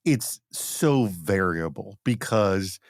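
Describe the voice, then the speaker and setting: A man with a slightly low voice hosts a podcast. He puts questions in a conversational way, probing and gently teasing. The sound is dry and close. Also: animated, slow pace, dry recording. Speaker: podcast host.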